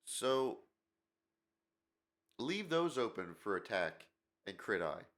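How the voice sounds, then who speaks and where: A man's voice: on the low side, very animated, slow, fairly bright tone. A man hosting a gaming channel, talking in a rambling, opinionated way with lots of fillers, his nose stuffed up from a cold. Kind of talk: casual commentary video.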